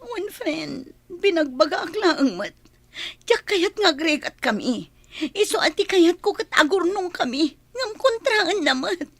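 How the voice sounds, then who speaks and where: A woman's voice: very high-pitched, very animated, a little slow, vocal fry, fairly bright tone, dry recording, heavily acted. A woman with a Filipino accent acting a hurt, nagging, thrifty girlfriend in an emotional, scolding radio drama style. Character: Bianca, hurt, nagging, thrifty girlfriend confronting her boyfriend.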